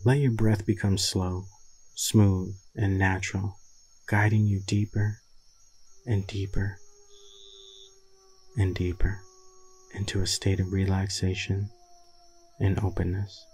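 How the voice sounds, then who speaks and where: A man leading a guided meditation in a soothing, deliberate manner with long pauses and a husky voice. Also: low-pitched, little pitch movement, a little mellow.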